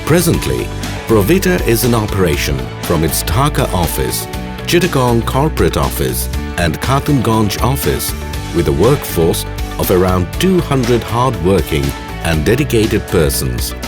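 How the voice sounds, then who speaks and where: A man's voice: lowish voice, very animated, unhurried. A man reading scripted narration for a corporate documentary. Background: music behind the voice.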